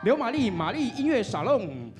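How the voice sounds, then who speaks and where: A man's voice: extremely high pitch, very animated, moderate pace, over music. A man with a Taiwanese Mandarin accent presenting on stage as a radio DJ, playful and deferential in his banter. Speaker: radio DJ presenting award nominations. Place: on stage at an awards announcement event.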